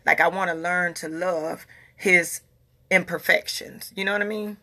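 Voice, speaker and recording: A woman's voice: on the low side, fairly even intonation, normal speed, sharp tone. A woman in her forties talking casually to her followers in a vlog, earnest and repeating herself as she makes her point. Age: 40s. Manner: earnest, repeats herself, talks in a Southern US dialect. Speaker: vlogger.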